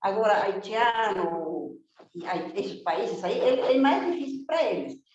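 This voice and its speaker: A woman's voice: mid-range voice, animated, a little slow, darkish tone. Indignant woman with a very echoey, lo-fi sound. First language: not Portuguese, learned it on her own. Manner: emphatic, indignant, rhetorical questions and lists. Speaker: guest speaking for domestic workers. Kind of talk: impassioned spoken testimony. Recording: very echoey, dull lo-fi remote audio with faint noise.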